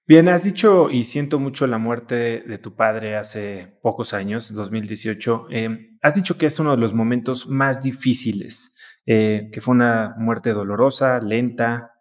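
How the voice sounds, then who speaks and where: A man in his thirties hosting an interview podcast, reading sponsor spots and asking warm, open questions into a close studio mic. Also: mid-range voice, measured pace. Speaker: podcast host. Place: small room with plain wall. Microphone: close studio mic with headphones.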